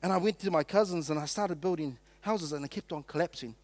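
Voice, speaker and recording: A man born in Samoa, preaching to his church through a string of personal stories. His voice sits high for a man, and he keeps things light, teasing the congregation and asking them questions as he goes. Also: fast, darkish tone. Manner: storytelling, joking with the congregation. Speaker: pastor.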